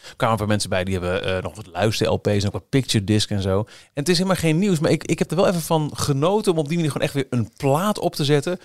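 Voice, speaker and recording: A man's voice: medium pitch, lively intonation, very fast, hardly any pauses, no room sound. Enthusiastic man, dry close sound. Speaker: podcast co-host. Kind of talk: casual podcast chat.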